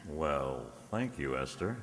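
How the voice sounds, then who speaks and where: A man's voice: very deep voice, sing-song, slow, a little hiss or hum, exaggerated voice. A man playing a breezy, teasing ex-cop private detective in an old-time radio drama style, recorded in a very reverberant space. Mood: breezy, flirtatious. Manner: breezy, teasing, first-person hardboiled narration. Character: wisecracking ex-cop private detective, unflappable, flirtatious. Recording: very reverberant room or hall.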